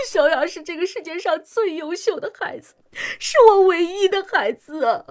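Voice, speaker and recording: A woman's voice: very high-pitched, expressive, normal speed. An actress playing a devoted, anxious working-class mother in a science-fiction radio drama, pleading and sighing emotionally. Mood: tearful, loving.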